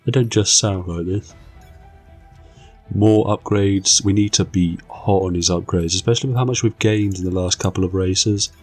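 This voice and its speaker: A young man with a cold, croaky, giving excitable, jokey commentary over his racing game. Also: sits low, normal speed, faint music behind. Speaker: gaming channel host. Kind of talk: casual gameplay commentary.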